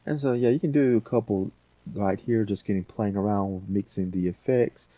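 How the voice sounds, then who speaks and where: A man's voice: fairly low pitch, normal speed, mellow, dry recording. A man hosting a casual audio editing tutorial, thinking aloud in a folksy, rambling way.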